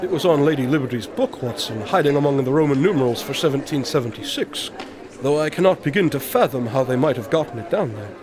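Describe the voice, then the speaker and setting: A man's voice: mid-range voice, lively intonation, fast, a crowd in the background, natural voice. A man playing an eccentric, observant detective in an audio drama, speaking in a precise, formal, absent-minded way.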